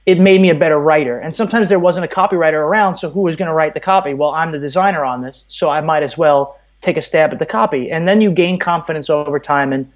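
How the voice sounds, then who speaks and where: A man's voice: on the high side, fast talker, few pauses, lo-fi sound. A man from Brooklyn, telling stories conversationally as a podcast guest. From Brooklyn, New York, USA. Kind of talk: conversational interview, storytelling.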